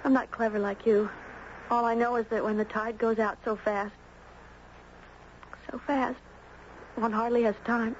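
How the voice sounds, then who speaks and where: A woman's voice: a little high, little pitch movement, measured pace, pauses often, natural voice. A woman playing a fey young girl with a dreamy, cryptic, simply worded manner in a muffled, noisy 1970s radio drama. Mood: brooding, insistent. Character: fey, confident young girl with an uncanny gift.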